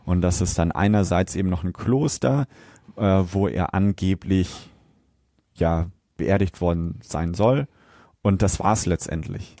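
Low, mellow German man explaining casually. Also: measured pace, dry recording. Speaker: podcast host.

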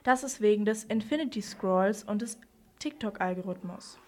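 A woman's voice: mid-range voice, lively intonation, moderate pace. A woman presenting on a children's radio programme, explaining things in scripted dialogue.

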